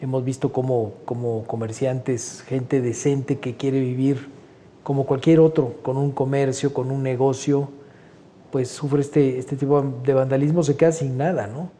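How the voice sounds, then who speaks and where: Male guest, 60s, Mexican accent, very dark voice, conversational with frequent fillers. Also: mid-range voice, fairly even intonation, measured pace, slight background noise. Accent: Mexican Spanish. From Honduras. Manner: conversational, opinionated, many fillers like "este". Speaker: guest painter. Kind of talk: interview answers.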